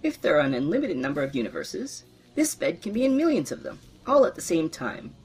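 A man voicing an excitable dying old philosopher who argues eagerly in a high, lively voice. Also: fairly quick. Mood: excited.

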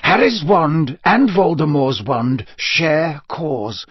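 A man voicing a weary, wise old wizard headmaster with a slow, solemn, measured delivery. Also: a little high, sing-song, breathy edge, no echo, dull audio, voice pushed up, exaggerated voice. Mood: explaining.

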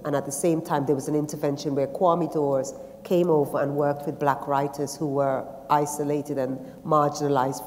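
A woman from Guyana talks on a festival panel before an audience, thoughtful and halting, with frequent ums and restarts. Her voice sits low. The theatre's long reverb trails behind each phrase. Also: a little mellow. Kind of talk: personal reflection and reading aloud on a stage panel. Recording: long reverb of a large hall.

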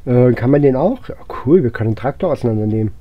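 A man's voice: medium pitch, animated, fast talker, no room sound, dull audio. A man giving casual let's-play commentary as a gaming host, unhurriedly thinking aloud to himself.

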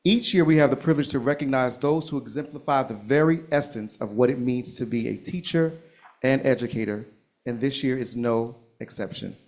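A man's voice: mid-range voice, darkish tone. Man, formal prepared speech, ceremonious, emphatic, board meeting room. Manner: measured, ceremonious, emphatic delivery. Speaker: school district speaker.

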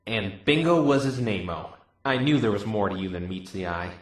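Man playing sardonic young male lawyer, low voice, echoing thoughts, cartoon game dub. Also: animated, normal speed. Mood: suspicious. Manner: dry, sardonic inner monologue. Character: wry young male lawyer thinking to himself, suspicious. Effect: echo for thoughts. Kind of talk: visual novel fandub acting. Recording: echoing inner-thought reverb.

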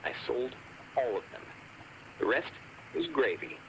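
A man's voice: medium pitch, sing-song, a little slow, gravelly, noisy background. A man playing a chatty, cheerful bargain hunter calling a radio show in a video game. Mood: chatty, pleased. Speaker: radio caller.

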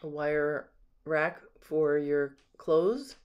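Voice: mid-range voice, fairly even intonation, drawn-out words, clean tone, lo-fi sound. A home sales agent giving a casual, unscripted walkthrough narration, admiring and describing things item by item, recorded on a handheld camera inside an empty house. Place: indoors, rooms of an empty house.

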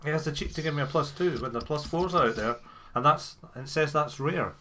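A man's voice: fairly high pitch, fairly quick, a bit bright. A man giving casual, sweary gameplay commentary as he makes a video, grumbling and sarcastic. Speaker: gamer making a video.

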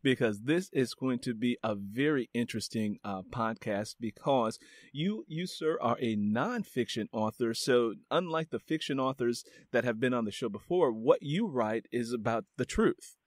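A man's voice: mid-range voice, animated, normal speed, dry recording, studio quality. A man hosting a book podcast, chatting in a friendly, rambling way with fillers and restarts. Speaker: podcast host. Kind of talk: casual interview chat.

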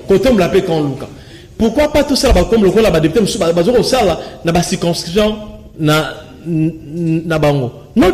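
A young man with a Congolese accent, speaking French mixed with Lingala as a guest in a TV studio, gesturing and often restarting his sentences. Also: sits high. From Kinshasa, Congo. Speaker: studio guest, local resident. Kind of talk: studio interview, animated talk. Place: TV studio with screen backdrop.

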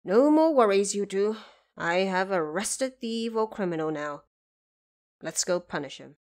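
A woman's voice: mid-range voice, lively intonation, measured pace, darkish tone. A woman playing an elderly prime minister, a father consoling his family with firm authority in an audio drama. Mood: firm reassurance.

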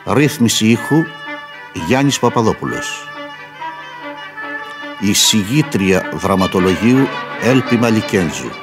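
Low-voiced male radio announcer over music. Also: lively intonation, pauses often. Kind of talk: formal scripted credits announcement.

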